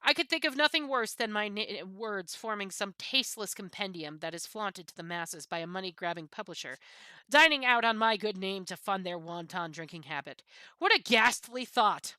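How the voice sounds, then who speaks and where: A woman's voice: medium pitch, lively intonation, moderate pace, sharp tone, clear, resonant tone, no echo. A woman reading a book aloud as a haughty, misanthropic pampered cat, pompous and disdainful, with theatrical emphasis.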